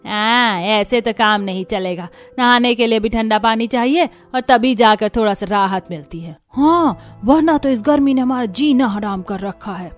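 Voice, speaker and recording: A woman's voice: on the high side, normal speed, hardly any pauses, faint music behind, lightly acted. A woman voicing earnest, fretful young village daughters-in-law in a cartoon story, with dramatic delivery. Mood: weary complaint. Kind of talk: Hindi cartoon story dialogue.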